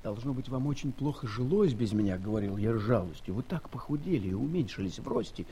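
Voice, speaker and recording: An actor playing an earnest, wonderstruck seafaring traveller in a storybook audio play, with expressive, theatrical delivery. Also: male voice, medium pitch, fast talker, lightly acted. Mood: reflective. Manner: expressive, exclamatory, reflective. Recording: 1970s studio audio play, background noise.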